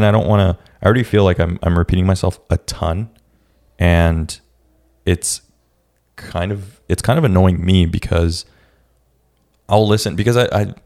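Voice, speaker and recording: Low gravelly young man, halting. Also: darkish tone. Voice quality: raspy. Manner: reflective, halting, many restarts. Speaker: podcast host. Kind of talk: casual solo podcast talk.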